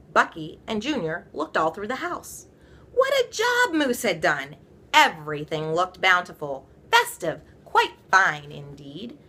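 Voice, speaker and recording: A woman reading a children's story aloud, with playful, theatrical emphasis and drawn-out words. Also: mid-range voice, sharp tone, a little hiss or hum. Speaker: storybook reader. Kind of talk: animated children's read-aloud.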